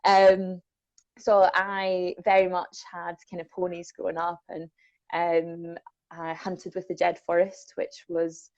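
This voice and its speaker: A woman's voice: fairly low pitch, little pitch movement, takes it slowly, darkish tone, no echo. A young Scottish woman from the Borders, a welfare manager chatting casually and self-deprecatingly with lots of ums. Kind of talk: casual chat. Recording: dull lo-fi sound.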